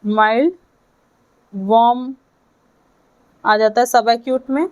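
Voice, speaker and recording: A woman with an Indian accent giving a lecture to students, speaking in short halting phrases and checking in with her listeners. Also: a little high, fairly even intonation, dull audio. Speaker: physiotherapy lecturer.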